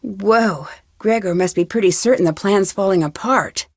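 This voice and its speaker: An actress plays a young woman detective from an adventure game, recorded very dry and close. She talks to herself in clear, practical, curious remarks, with a medium pitch and steady determination. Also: normal speed, no room sound, natural voice. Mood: curious, musing. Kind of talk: scripted adventure game dialogue, thinking aloud.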